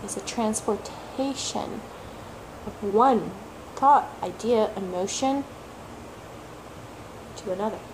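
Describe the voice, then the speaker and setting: A woman for whom English is a third language, talking slowly and reflectively as a tarot reader, repeating words for emphasis and sometimes searching for them. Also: medium pitch, a little mellow, a little hiss or hum, low-quality recording. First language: not English; English is her third language. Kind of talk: slow reflective monologue.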